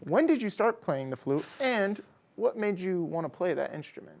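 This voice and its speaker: A man's voice: high voice, sing-song, normal speed, creaky, a little mellow. A young man hosting a campus TV studio interview, bubbly and playfully hammy. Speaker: student host.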